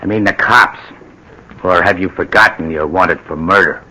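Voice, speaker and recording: An actor playing a ruthless, menacing burglar in an old-time radio crime drama, with tough, slangy, bullying talk. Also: male voice, low voice, moderate pace, pushed and bright, heavily acted, rough recording. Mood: cold, threatening. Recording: vintage radio drama recording.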